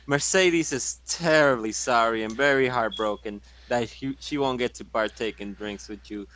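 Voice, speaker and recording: A man with a mid-pitched voice talks casually as a tabletop game player. He is sweary and wisecracking, with faint noise behind him. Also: moderate pace, fairly bright tone. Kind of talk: casual profane game chat.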